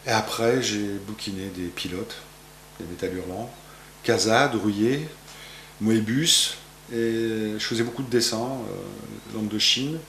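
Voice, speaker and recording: A man's voice: fairly low pitch, unhurried, creaky, fairly bright tone, reverberant, slight background noise. A man in his fifties from France, a painter answering interview questions in his gallery, rambling with many fillers and restarts. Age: 50s. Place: art gallery, indoors.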